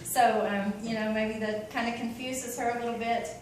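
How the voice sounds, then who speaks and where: A woman's voice: on the high side, fairly even intonation, normal speed, slight background noise. Chatty Oklahoma woman, distant and echoey. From Oklahoma, USA. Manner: chatty and open, many ums and you-knows, laughs easily. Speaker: convert giving a talk. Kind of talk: casual personal talk.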